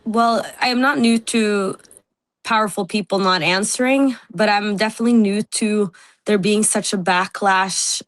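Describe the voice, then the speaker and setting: A woman's voice: medium pitch, steady tone, normal speed, fairly bright tone, no echo. A woman journalist, giving a candid interview over a video call and laughing between points. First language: Norwegian.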